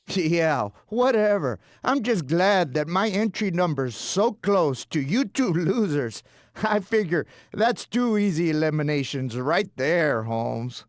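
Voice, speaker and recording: An actor playing a cocky, sly wrestler in a game cutscene, with a swaggering, slangy way of talking. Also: male voice, fairly high pitch, sing-song, slow, no echo, studio quality. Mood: mocking. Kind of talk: video game cutscene acting.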